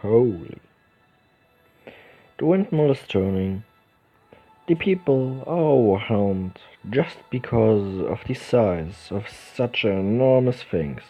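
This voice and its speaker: Man, let's play reader, unhurried with lively intonation, stumbles now and then. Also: lowish voice. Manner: reads narration slowly with small stumbles and restarts. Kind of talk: reading a visual novel's text aloud. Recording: dull lo-fi recording with game music behind.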